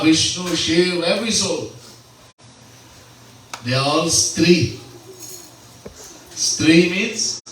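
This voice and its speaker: A man, a swami, giving a slow devotional sermon at a home gathering, repeating key phrases and mixing in Hindi terms. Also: a little high, a little hiss or hum. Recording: very echoey room, mic far off.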